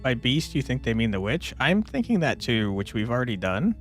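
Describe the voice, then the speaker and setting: A man streams a game with casual, joking commentary. The voice is mid-pitched and animated, relaxed in manner as it talks to viewers. Faint music sits behind it. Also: brisk.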